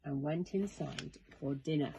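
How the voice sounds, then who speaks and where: A woman narrating a children's bedtime story in an expressive, measured, dramatic way. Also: low-pitched, vocal fry, slight background noise, poor audio.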